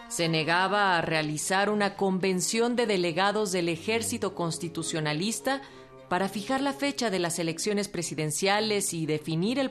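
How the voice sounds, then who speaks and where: A woman narrating a historical radio drama in a measured, scripted delivery. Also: medium pitch. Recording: with music underneath.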